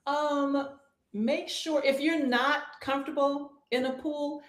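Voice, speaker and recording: A woman who teaches swimming, answering interview questions in a calm, reassuring way and repeating her key points. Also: high voice, slow pace. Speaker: swim instructor. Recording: echoey room.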